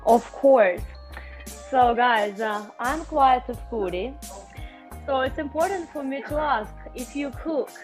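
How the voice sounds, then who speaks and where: Woman, fairly high voice, playful and chatty, video call, music playing under it. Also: slow. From Russia. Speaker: bachelorette contestant. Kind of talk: casual video call chat.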